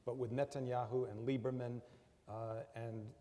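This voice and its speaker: Brisk moderator in a reverberant lecture hall. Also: male voice, medium pitch, flat delivery, dark tone. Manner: brisk, procedural, with some fillers. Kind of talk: moderating a Q&A, paraphrasing questions. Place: large university lecture hall.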